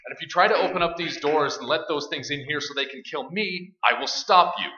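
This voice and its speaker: A man's voice: fairly high pitch, lively intonation, fairly quick, sharp tone. An actor playing a tough, practical, protective man who takes charge in a staged radio play. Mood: stern, urgent. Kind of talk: radio drama acting. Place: stage performance in an echoey room. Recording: dull, echoey live stage recording.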